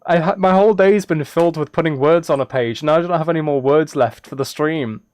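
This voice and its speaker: A man's voice: a little high, a little fast, darkish tone, no room sound. British man chatting on a close, dry mic. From United Kingdom. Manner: rambling, self-deprecating, apologetic. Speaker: live streamer playing a video game. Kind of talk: casual livestream chat while gaming.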